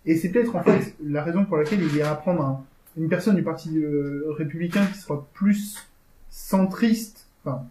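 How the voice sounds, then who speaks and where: A man explains political strategy in a measured way, pausing now and then. His voice is fairly high and mellow. The room around him echoes. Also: a little hiss or hum. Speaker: co-host. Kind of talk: casual discussion.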